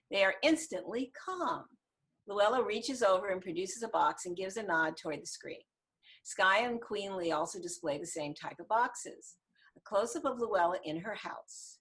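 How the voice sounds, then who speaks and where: A woman's voice: medium pitch, a bit bright. Female narrator, mid-range voice, scripted screenplay narration, stage directions, video call.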